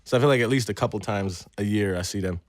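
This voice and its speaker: A man's voice: lowish voice, fast, dry recording, clean studio sound. A man as a podcast guest in casual chat, laid-back, laughing often and calling people "man". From United States, first-generation of Jamaican parents. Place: podcast studio.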